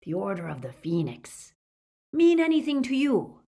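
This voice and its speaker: A woman voicing a gloating, savage male werewolf villain in an audiobook, with a menacing, rasping growl. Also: mid-range voice, huge pitch swings, moderate pace, mellow, pitched above the performer's own voice. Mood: gloating menace. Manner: menacing, snarling.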